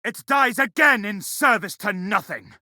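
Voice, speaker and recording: A man voicing a furious, vengeful space marine in a video game, orating loudly and forcefully. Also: sits high, animated, a little slow, no room sound, clean studio sound, exaggerated voice. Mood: vengeful scorn. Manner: loud, forceful, oratorical. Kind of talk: video game battle barks.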